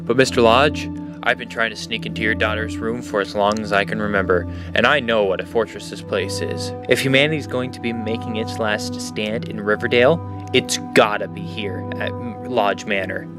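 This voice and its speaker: Man playing an earnest, halting teenage boy, music behind. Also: lowish voice, close to an everyday voice. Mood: polite, determined. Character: earnest, nervous teenage boy leading his friends. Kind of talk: audio drama acting. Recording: music under the voice. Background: prominent music.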